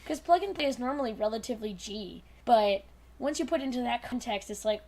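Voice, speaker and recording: A young woman podcast host rambling in an animated, teasing way during a casual group chat. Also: a little high, fairly quick.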